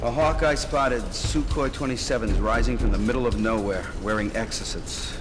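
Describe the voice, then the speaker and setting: An actor playing a brisk military briefing officer in a game cutscene. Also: male voice, mid-range voice, background noise, close to an everyday voice. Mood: urgent, businesslike.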